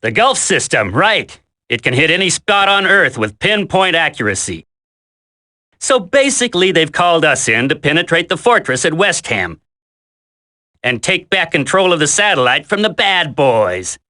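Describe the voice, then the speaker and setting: A man's voice: a little high, very animated, moderate pace, bright, forceful tone. A man playing a cocky, outspoken young special forces soldier in a video game, brash and sarcastic. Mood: casual, cocky.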